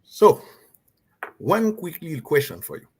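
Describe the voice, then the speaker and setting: A man's voice: a little high, big pitch swings, a little slow, darkish tone, a little hiss or hum. A man hosting an interview who asks his questions in a formal, courteous way. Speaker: interviewer host.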